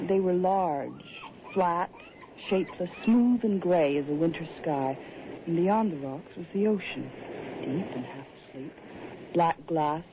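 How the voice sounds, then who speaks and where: An uneasy woman writer, muffled radio. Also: deep voice. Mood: brooding, uneasy. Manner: measured, literate, dramatic phrasing. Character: polite, uneasy woman writer on a seaside holiday. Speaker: narrator and lead character. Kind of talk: old-time radio drama acting, reflective narration. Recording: muffled, noisy old radio recording.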